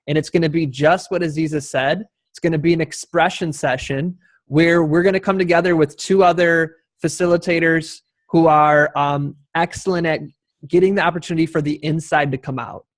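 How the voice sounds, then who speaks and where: A man's voice: on the high side, steady tone, normal speed, no echo. A man moderating a discussion in an unscripted, encouraging and appreciative way. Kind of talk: spontaneous event moderation.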